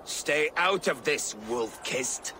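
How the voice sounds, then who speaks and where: An actor playing a scornful, impatient Danish warrior in a video game, gruff and dismissive. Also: male voice, fairly high pitch, expressive, takes it slowly. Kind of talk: video game cutscene acting. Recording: outdoor ambience noise behind voice.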